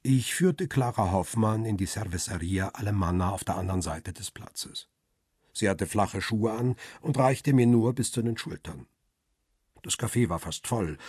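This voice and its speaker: An actor playing a photographer who tells his own story in a radio crime drama, measured and observant, with a rough, husky voice. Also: lowish voice, a little mellow, no room sound, clean studio sound. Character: male photographer, husband, observant first-person narrator. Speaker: narrator and lead actor. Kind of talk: first-person radio crime drama narration.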